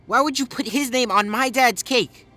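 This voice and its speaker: An actress playing a blunt, sarcastic teenager who judges quickly, in a family audio drama. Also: female voice, a little high, sharp tone. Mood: hurt, angry.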